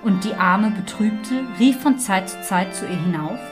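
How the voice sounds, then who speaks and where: A woman's voice: mid-range voice, moderate pace. A woman storyteller reading a scripted fairy tale narration. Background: music.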